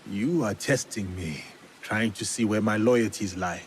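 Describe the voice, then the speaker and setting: An actor playing a stern, principled, guarded samurai in a video game, grave and terse. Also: male voice, lowish voice, unhurried, slight background noise, lightly acted. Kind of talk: video game cutscene acting. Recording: music faintly behind the voice.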